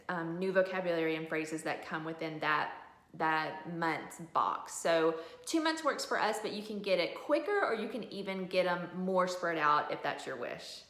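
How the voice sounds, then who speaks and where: A woman's voice: fairly low pitch, a bit bright. Woman explaining casually in an echoey room. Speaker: homeschooling mom reviewing a curriculum. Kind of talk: casual explanatory product review. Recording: roomy, echoey room sound.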